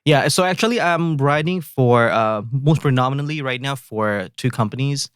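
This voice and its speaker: A man from Indonesia with an Indonesian accent, a composer guest talking in a halting, relaxed way with many fillers and restarts. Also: medium pitch, clear, resonant tone, dry recording, clean studio sound. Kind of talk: casual interview chat.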